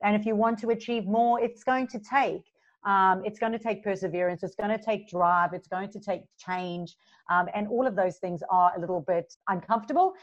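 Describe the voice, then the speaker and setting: A bubbly woman from South Africa, her accent blended with Australian, chats as an interview guest and laughs between phrases. Her voice sits at a middle pitch. The recording is a little dull and lo-fi. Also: normal speed. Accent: South African, mixed with Australian. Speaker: guest, business coach. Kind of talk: casual interview chat. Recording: slightly dull lo-fi sound.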